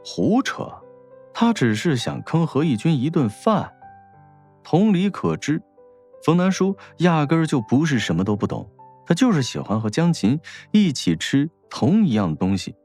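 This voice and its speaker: A man's voice: a little high, very animated, moderate pace, a little mellow, faint music behind. A man narrating a scripted audiobook story in a wry storytelling manner.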